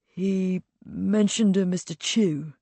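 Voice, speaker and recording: A woman's voice: mid-range voice, animated, unhurried, darkish tone, no echo. A woman voicing a courteous male director. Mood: polite. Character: polite middle-aged male institute director.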